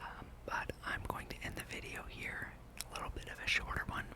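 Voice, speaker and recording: A person speaking in a breathy whisper, gently rambling and musing aloud while hosting an ASMR gameplay video. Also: brisk. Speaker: gamer and video host.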